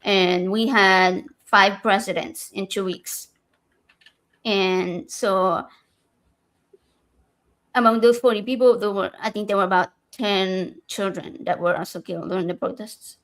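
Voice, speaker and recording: A woman's voice: mid-range voice, fairly even intonation, unhurried, a bit bright. A woman in her thirties, originally from Argentina, telling a personal story candidly on a casual podcast, with many fillers and restarts.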